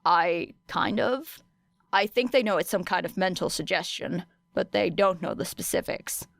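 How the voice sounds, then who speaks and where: A woman voicing a grumpy, sardonic teenage boy student with deadpan, grumbling delivery in an audiobook. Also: fairly low pitch, moderate pace, creaky, dry recording, studio quality. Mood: sullen. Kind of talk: audiobook character dialogue.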